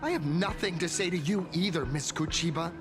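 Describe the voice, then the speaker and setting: An actor playing an earnest, idealistic young would-be hero in an anime game, with emphatic delivery. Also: male voice, on the high side, brisk, lightly acted. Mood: curt. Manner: emphatic, stammers under stress. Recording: loud music underneath.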